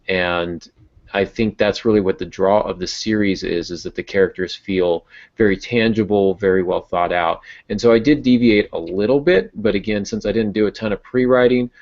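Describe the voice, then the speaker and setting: A man's voice: sits low, normal speed. A man speaking casually on a podcast as a novelist, explaining and thinking aloud. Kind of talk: casual podcast discussion of writing. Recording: dull, lo-fi podcast audio.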